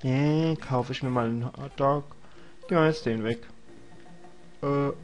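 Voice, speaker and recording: A man's voice: mid-range voice, measured pace. Young man, stuffy cold, chatty rambling game commentary, faint game music behind. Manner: rambling, joking to himself, chatty. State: has a cold. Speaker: let's play host. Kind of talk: casual gameplay commentary.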